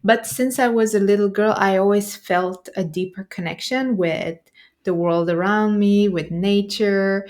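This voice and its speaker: A woman's voice: mid-range voice, darkish tone. A woman from Romania with a Romanian accent, a nervous system coach speaking as a podcast guest in a reflective, hesitant way.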